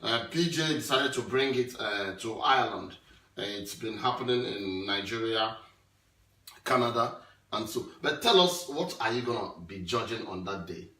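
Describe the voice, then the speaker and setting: A man's voice: lowish voice, normal speed, bright, forceful tone. A man hosting a casual live interview, talking with many hesitant fillers. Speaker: host. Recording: echoey room, distant mic.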